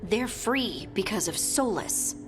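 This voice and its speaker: A woman's voice: medium pitch, lively intonation, slow pace, music in the background. An actress playing a determined, worried female scout in a fantasy video game, speaking plainly and with urgency. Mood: earnest. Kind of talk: cinematic video game dialogue.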